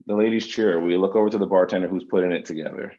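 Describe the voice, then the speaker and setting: Deep-voiced man reading stage directions, slightly muffled. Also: fairly even intonation, racing, mellow. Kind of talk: scripted screenplay narration at table read.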